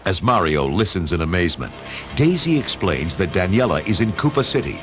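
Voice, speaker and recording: Low, animated male story narrator. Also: brisk, music in the background. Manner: expressive storytelling. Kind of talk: dramatic scripted story narration.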